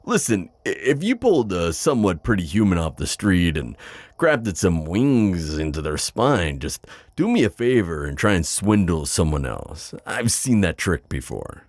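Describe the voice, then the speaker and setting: A man playing a suave, skeptical demon buyer in an ASMR audio roleplay, with a deep voice and a sardonic, halting manner. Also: sing-song, no echo. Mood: skeptical, probing. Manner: flirty, sardonic, hesitant pauses and drawn-out words.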